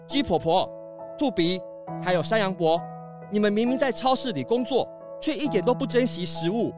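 A woman acting as a boyish young lemur in a children's radio play. He is earnest and heartfelt, his mid-pitched voice rising and falling widely over background music. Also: animated, moderate pace, lightly acted. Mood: indignant, heartfelt. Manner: sincere, heartfelt. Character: young male lemur, earnest, principled older brother. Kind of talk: children's audio drama acting.

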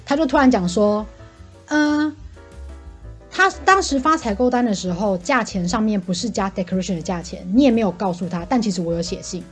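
Woman, Taiwanese accent, quick pace, indignant, animated casual venting, English phrases mixed in, music behind. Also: medium pitch. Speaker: guest venting about work. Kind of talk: casual chat, venting about work.

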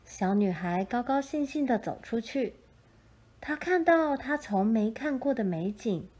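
Slow, mellow woman reading a storybook. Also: on the high side, husky. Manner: gentle, expressive storytelling. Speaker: storybook narrator. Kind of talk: scripted children's story narration.

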